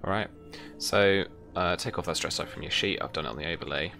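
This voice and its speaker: A man's voice: deep voice, fast talker. A young man speaking as game master, running a tabletop roleplaying game over music. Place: indoor room at home, video call. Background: background music.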